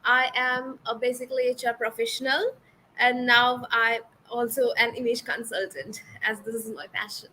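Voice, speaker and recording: A woman's voice: on the high side, level tone, normal speed, pushed and bright, rough recording. A woman from India, an image consultant and panelist, giving a brief, simple self-introduction over a video call. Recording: faint noise on video call.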